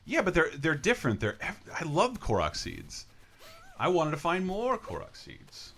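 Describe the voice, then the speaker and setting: A man's voice: on the high side, wildly swooping pitch, moderate pace, fairly bright tone. A man casually commentating as host of a gaming livestream, enthusiastic and wisecracking.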